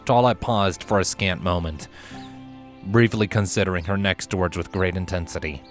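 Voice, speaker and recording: A man reading a fiction story aloud as its narrator, in an expressive scripted style. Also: deep voice, moderate pace, faint music behind.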